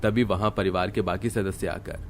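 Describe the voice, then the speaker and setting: Low-voiced man narrating a cartoon story. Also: steady tone, moderate pace, creaky, a little hiss or hum.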